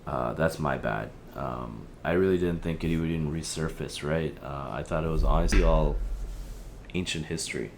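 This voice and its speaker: A man playing an apologetic, evasive helpline listener in an audio drama, speaking in a hesitant, halting, conciliatory way. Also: extremely low pitch, gravelly, slight background noise. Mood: sheepish, apologetic. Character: Theo, apologetic, evasive male helpline listener with a troubled marriage. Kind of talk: audio drama, naturalistic phone conversation.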